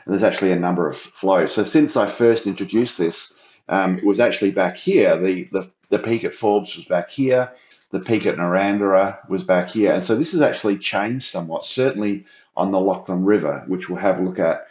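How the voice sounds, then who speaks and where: Croaky, low-voiced man. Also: moderate pace, darkish tone, echoey room, highs cut off. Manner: explanatory, thinking aloud with fillers. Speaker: data analyst presenting a flood report. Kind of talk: screen-share walkthrough.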